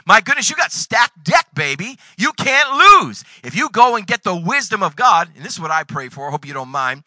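A man in his forties preaching a sermon, reading scripture and breaking into sudden loud bursts. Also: sits high, sing-song, normal speed, no room sound. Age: 40s. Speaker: pastor.

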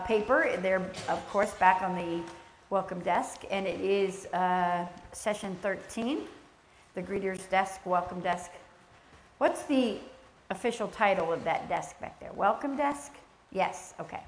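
A soft-spoken woman teaches a church session in a gentle, conversational way, pausing on fillers as she goes. Her voice sits at an ordinary middle pitch. The sanctuary makes it ring with echo over a faint hum of room noise. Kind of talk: sermon teaching with prayer.

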